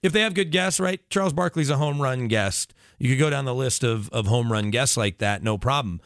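A man's voice: mid-range voice, brisk, vocal fry, a bit bright, no room sound, studio quality. An American man, a sports radio broadcaster guesting on a podcast, chatting casually and emphatically with lists and asides.

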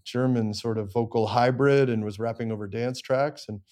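A man's voice: mid-range voice, fairly even intonation, moderate pace, darkish tone. A middle-aged man with an American accent, telling his story candidly and self-deprecatingly as an interview guest. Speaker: interview guest, songwriter and producer.

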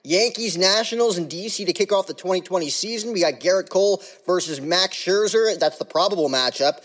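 Male, podcast host, hyped sports talk, casual slang, slightly high voice. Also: fairly quick, fairly bright tone. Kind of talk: casual podcast intro and interview.

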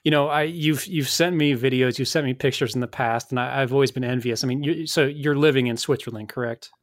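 A man of middling pitch hosting a conversation over a video call, his voice close and dry with no room around it. He sounds appreciative and repeats his first words as he gets going. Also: brisk, no echo. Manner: warmly appreciative, repeats words when starting. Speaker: host. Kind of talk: casual interview conversation.

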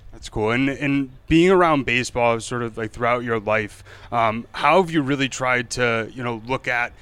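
Young male sports podcast host, smooth clear voice, chatty and rambling. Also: mid-range voice, moderate pace, fairly bright tone, dry recording. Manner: rambling questions with many fillers and restarts. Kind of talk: casual interview chat.

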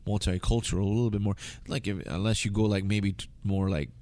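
Low-pitched, rambling American man. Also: fairly even intonation, quick pace, a little mellow. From United States. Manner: rambling, slangy. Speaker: interview guest. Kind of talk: casual conversation.

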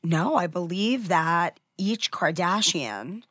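A woman hosts a studio podcast while fighting a cold, so her voice comes out nasal and stuffy. Her voice sits a little low, and she chats in an upbeat way. The studio sound is dry and close. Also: lively intonation, slow pace, a bit bright, no room sound. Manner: chatty and upbeat, thinks aloud. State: sick, nasal. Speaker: podcast host. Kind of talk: casual chat. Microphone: studio mic.

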